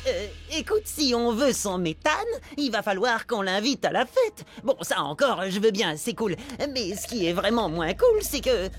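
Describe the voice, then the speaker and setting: An actress voicing a boastful, excitable young boy in a cartoon, slangy and often stammering as he cajoles. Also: mid-range voice, normal speed, lightly acted. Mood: reluctant. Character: boastful, excitable popular cartoon boy trying to save his party. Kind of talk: French-language cartoon dubbing. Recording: loud music behind.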